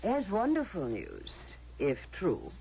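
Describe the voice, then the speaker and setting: A menacing judge of a utopian world, formal and falsely courteous. The voice is husky and fairly high with sweeping intonation, heard on a dull old radio drama recording. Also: male voice, huge pitch swings, takes it slowly, dark tone, heavily acted. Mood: courteous menace. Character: smooth-mannered, menacing judge of a utopian society.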